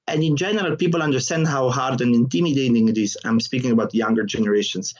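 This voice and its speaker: A husky-voiced man with an Italian accent talks through an interview, animated and quick to laugh, often restarting his words. The audio sounds dull and a little lo-fi. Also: medium pitch. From southern Italy. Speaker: interview guest, comedian. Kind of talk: conversational interview.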